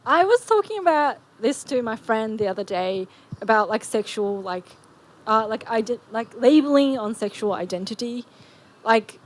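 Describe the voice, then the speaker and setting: Woman from Japan, audience questioner, halting and filler-heavy. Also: fairly high pitch, expressive. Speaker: audience member.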